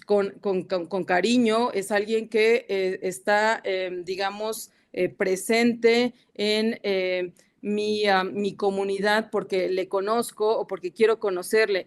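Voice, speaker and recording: A woman with a Mexican accent giving an academic panel talk over a video call, hesitant and full of fillers and restarts. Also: mid-range voice. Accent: Mexican Spanish. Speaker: guest academic speaker.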